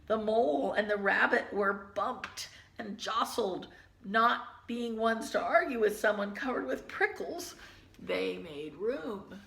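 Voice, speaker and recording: Slow, bright-voiced woman reading a picture book. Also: mid-range voice, lively intonation, gravelly, reverberant, a little hiss or hum. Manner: gentle, drops to hushed asides about the pictures. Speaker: teacher reading aloud. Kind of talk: children's picture book read-aloud.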